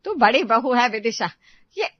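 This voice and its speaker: A sharp, reproachful mother-in-law, as a woman puts her on, with a voice pitched above the usual. Her lines come out loud and scolding. The recording is dull and dry, like a storybook voice-over. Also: animated, moderate pace, no room sound. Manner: stern, reproachful, tearful at the end.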